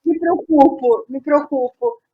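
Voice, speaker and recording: A woman with a Brazilian accent and a fairly high voice talks casually as an interview guest. She thinks aloud, often repeating a phrase before carrying on. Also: a little slow, narrow, tinny audio. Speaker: guest playwright.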